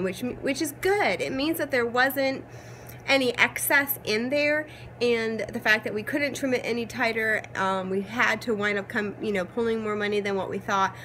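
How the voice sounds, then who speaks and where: A woman in her thirties, hoarse from a cold, chatting casually and upbeat with lots of ums as she vlogs from home. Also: mid-range voice, fairly bright tone, slight background noise. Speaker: vlogger. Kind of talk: casual chat. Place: at home, changed rooms.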